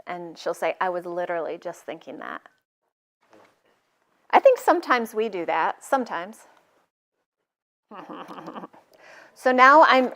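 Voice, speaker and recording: A middle-aged woman hosting a live sewing tutorial in her studio, chatting casually and joking at her own expense as she works. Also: medium pitch. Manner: chatty, self-deprecating, jokey asides.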